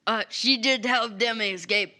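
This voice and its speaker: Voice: mid-range voice, unhurried, pushed and bright. A tabletop game player talking casually, in and out of character, in banter with the group.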